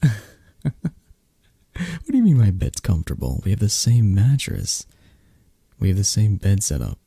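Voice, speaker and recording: A man playing a possessive, flirty mafia boss who dotes on his lover, murmuring softly and intimately in an ASMR romantic roleplay, with a breathy, husky, hesitant and reassuring delivery. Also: fairly low pitch, huge pitch swings, unhurried, dry recording, studio quality, exaggerated voice. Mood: teasing, possessive.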